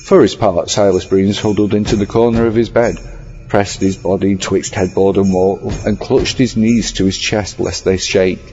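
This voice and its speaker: A man reading a scripted horror story as narrator, in an ornate, measured storytelling manner. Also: on the low side, fairly even intonation, mellow, slight background noise. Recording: slightly dull audio over music. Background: music.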